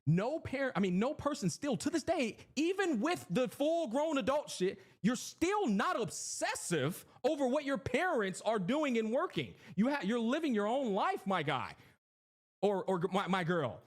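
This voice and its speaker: A man in his thirties talks to his stream chat with a high-set voice. His pitch swings widely from line to line. He is emphatic and profane, hammering each point home. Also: big pitch swings, moderate pace. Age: 30s. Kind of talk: livestream commentary to chat.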